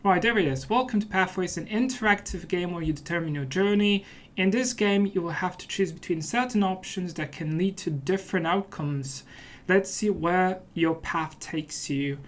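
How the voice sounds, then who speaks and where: A man's voice: sits high, normal speed. A man hosting a let's play, giving casual commentary in a playful, mock-serious manner.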